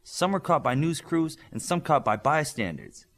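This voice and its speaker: A man's voice: on the high side, very animated, normal speed, creaky, a bit bright, pitched above the performer's own voice, full character voice. A man voicing a confident, proud and teasing young female superhero in a storybook reading, with emphatic delivery.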